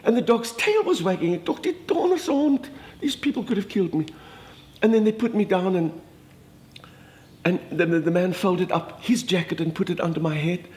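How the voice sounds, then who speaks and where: Man playing a theatrical older Afrikaans society wife, gushing and dramatic, voice pitched a touch high. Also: animated, moderate pace, a little mellow. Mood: gossipy. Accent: Afrikaans South African. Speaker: comedic monologist. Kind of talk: comic storytelling monologue.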